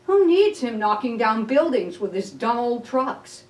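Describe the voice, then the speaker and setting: A woman reads a picture book aloud in a roomy, echoing space, a little away from the microphone. Her pace is slow and deliberate, with expressive storytelling delivery and a medium pitch. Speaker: library storyteller. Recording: roomy echo, distant mic.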